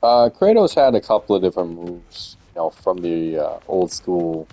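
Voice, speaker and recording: A man's voice: low-pitched, big pitch swings, moderate pace, mellow, no echo, poor audio. A young man casually commenting on a video game as he plays, rambling with lots of hesitant fillers. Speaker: gamer streaming a playthrough.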